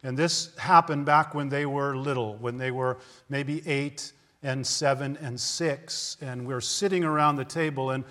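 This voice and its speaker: A man's voice: medium pitch, steady tone, a little slow, pushed and bright, big-room sound. A man in his fifties preaching a sermon in an earnest, conversational way, often asking his listeners rhetorical questions. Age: 50s. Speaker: pastor.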